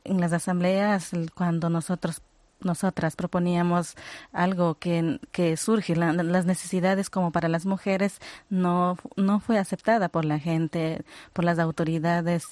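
A young woman from Ecuador, speaking as a radio guest in halting phrases with many hesitations. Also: lowish voice, little pitch movement, a little mellow, no room sound. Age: young adult. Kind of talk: radio interview. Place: radio studio.

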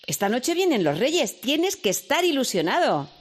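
An actress playing a caring, worried mother who speaks gently and soothingly to her son. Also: high voice, sing-song, moderate pace. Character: caring worried mother, middle-aged woman. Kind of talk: radio drama acting.

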